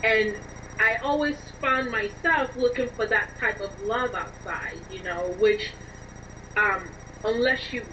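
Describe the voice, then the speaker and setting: Female interview guest, earnest writer explaining herself, slightly high voice, recorded at a distance with faint noise. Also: slow, pushed and bright. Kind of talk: reflective interview conversation. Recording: dull lo-fi, distant, noisy line.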